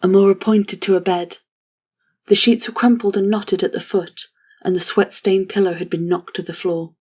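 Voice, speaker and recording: A woman reading scripted fantasy narration for an audio drama in a measured, solemn way. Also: mid-range voice, fairly even intonation, darkish tone, slightly rough.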